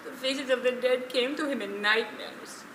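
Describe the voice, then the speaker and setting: An actress on stage playing an elderly widow and survivor, wounded but enduring, who speaks plainly and with deliberate emotion. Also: female voice, high voice, lightly acted. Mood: pained, sorrowful. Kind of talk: stage play acting. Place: theatre stage, distant mic. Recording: echoey stage from far off, noisy.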